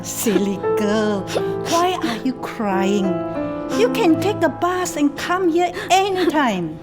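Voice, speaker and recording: A woman's voice: sits high, big pitch swings, takes it slowly, loud music behind the voice, full character voice. A woman playing a cheerful, motherly kampong auntie in a radio play, with a Singaporean accent and a reassuring manner. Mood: cheerful, fond.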